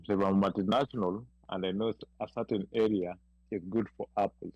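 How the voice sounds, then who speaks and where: A man with a lowish, husky voice lays out his argument firmly on a radio panel. He talks steadily to win the others over. The recording is dry. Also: fairly even intonation, fairly quick, a little mellow, no room sound, highs cut off. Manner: explains firmly, persuasive. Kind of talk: casual talk radio discussion.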